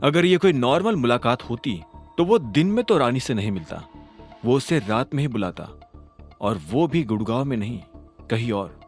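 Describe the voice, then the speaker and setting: A male narrator voicing a calm, determined young hero in a storybook audio drama. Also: medium pitch, normal speed, soft background music.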